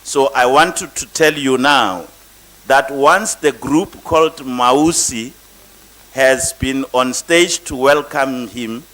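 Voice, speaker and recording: Slow, halting man emceeing. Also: mid-range voice, pushed and bright, rough recording. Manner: slow, halting, with many pauses and fillers. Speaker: master of ceremonies. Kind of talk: formal event hosting. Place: banquet hall at a gala dinner.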